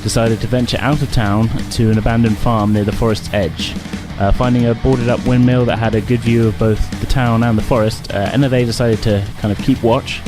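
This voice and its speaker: A man speaks as host and game master of a tabletop roleplaying show. His pitch sits in the middle of the male range, and his delivery is casual and informal, with a hesitant habit of rewording as he narrates. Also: steady tone, fairly quick, hardly any pauses, over music.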